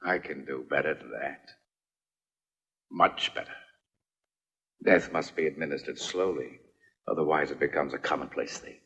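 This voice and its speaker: Man acting a deep-voiced, taunting cruel villain. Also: normal speed, long pauses, sharp tone. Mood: cold threat. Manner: menacing, taunting, theatrical. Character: cruel, mocking male villain who threatens prisoners. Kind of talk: dubbed historical adventure film acting. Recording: dull dubbed film soundtrack with music.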